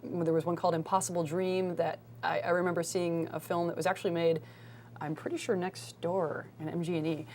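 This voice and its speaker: Lowish-voiced American woman over audible hum. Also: little pitch movement, brisk, a little mellow. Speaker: interview guest, co-director of a local film group. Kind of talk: casual explanatory interview talk. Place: live local TV studio.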